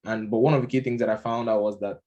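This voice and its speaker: A man's voice: lowish voice, fairly even intonation, fast talker, dark tone, highs cut off. A man with a Nigerian accent giving an unscripted lesson, talking conversationally with plenty of fillers and restarts. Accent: Nigerian English. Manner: conversational, many fillers and restarts, occasional chuckles. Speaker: product management instructor. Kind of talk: casual unscripted lecture.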